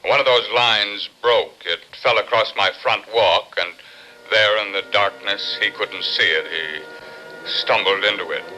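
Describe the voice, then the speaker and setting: A grave psychiatrist in a vintage radio play. His lowish voice is very sharp and tense, his delivery halting and deliberate, with faint music in the recording. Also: poor audio. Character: grave male psychiatrist breaking terrible news. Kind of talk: old-time radio drama acting.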